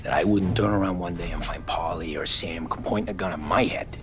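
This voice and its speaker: An actor playing a street-hardened 1930s American gangster, loyal but troubled, speaking in a brooding, plain-spoken wiseguy way in a cinematic game. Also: male voice, low voice, brisk, lightly acted. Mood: brooding, uneasy. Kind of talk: cinematic video game acting, confessional narration and dialogue. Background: background music.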